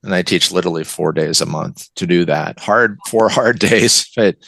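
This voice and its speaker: A man's voice: sits low, moderate pace, vocal fry, fairly bright tone, slightly rough, no room sound. An older American man, a writer from the West Coast, giving enthusiastic, reflective answers as an interview guest. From West Coast of the United States. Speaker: guest, novelist and college teacher.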